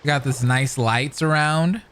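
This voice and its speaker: A woman's voice: extremely low pitch, measured pace. A woman giving casual reaction commentary as she plays through a video game. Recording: faint game music behind.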